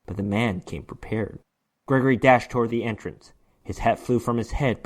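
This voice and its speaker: Man giving a dramatic story reading. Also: a little high. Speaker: narrator reading a short story. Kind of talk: dramatic reading of horror fiction narration.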